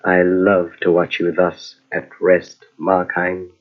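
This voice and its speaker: An actor playing a sly inner voice of evil that tempts and commands in old-time radio mystery style. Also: male voice, deep voice, monotone. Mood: gloating, sinister. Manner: insinuating, slow commanding repetitions. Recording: dull lo-fi old radio cassette recording.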